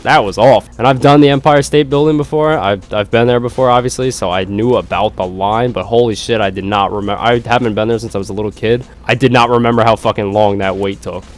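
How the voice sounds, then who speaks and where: A man's voice: on the low side, fairly even intonation, fast talker, hardly any pauses, faint music behind. A young man, nasal and congested from a cold, chatting casually in a rambling vlog and swearing freely. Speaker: gaming YouTuber.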